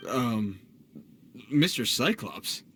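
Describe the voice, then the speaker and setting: A man's voice: mid-range voice, very animated, extremely slow, pushed and bright, slight background noise, exaggerated voice. A man voicing a dutiful, well-meaning patrolling guard in a video game, formal in speech but awkward and easily flustered. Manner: formal, hesitant, stammers when flustered.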